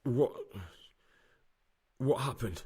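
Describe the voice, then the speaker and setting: An actor playing a wary, stubborn undercover bounty hunter in a game cutscene, with a husky voice and blunt, terse delivery. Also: male voice, mid-range voice, very slow, dark tone, no room sound, studio quality, exaggerated voice. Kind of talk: dramatic game cutscene acting.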